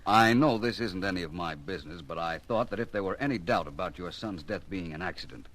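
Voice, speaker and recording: A man's voice: deep voice, animated, fairly quick, fairly bright tone. A man playing a courteous, probing private investigator with formal, polished speech in an old radio drama. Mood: probing, pointed. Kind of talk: old-time radio detective drama acting.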